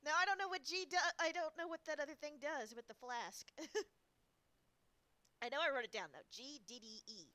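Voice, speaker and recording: A woman gives casual commentary as she plays a game on stream. Her voice is high and bright, and she chatters giggly to herself. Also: normal speed, no room sound.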